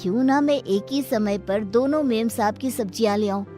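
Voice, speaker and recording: Woman doing a high-voiced, humble male servant. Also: moderate pace, dark tone, faint music behind, lightly acted. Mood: scheming. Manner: deferential, pleading. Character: humble, harried male household servant, meek and put-upon. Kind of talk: moral story dialogue.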